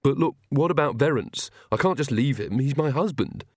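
A man's voice: medium pitch, expressive, moderate pace, a little mellow, no room sound, pitched above the performer's own voice. A man as anxious young royal woman, fretful, slightly haughty, storybook character, close dry recording. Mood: worried. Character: worried, haughty young queen with a baby.

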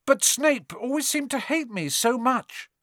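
A man's voice: sits high, very animated, unhurried, fairly bright tone, dry recording, studio quality, voice pushed up, full character voice. A man voicing a young boy hero in an audiobook, earnest, incredulous and blurting out his words. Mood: urgent, questioning. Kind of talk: audiobook character acting.

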